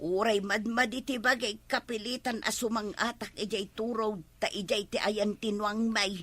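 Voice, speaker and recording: A woman's voice: mid-range voice, steady tone, normal speed, a bit bright, lightly acted. A woman with an Ilocano accent acting an older, nagging, long-suffering village wife in a comedy drama, given to scolding and exclaiming. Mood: weary, unwell.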